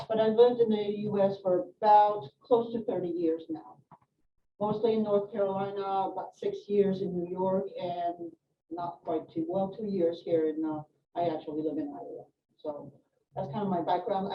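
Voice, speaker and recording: Middle-aged woman, nurse educator presenting to a room, chatty with fillers; distant, dull recording in an echoey room. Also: mid-range voice. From Sweden and France. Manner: chatty, informal, many fillers and asides. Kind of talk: live presentation to an audience. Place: hospital meeting room. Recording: echoey room, distant mic, dull sound, faint noise.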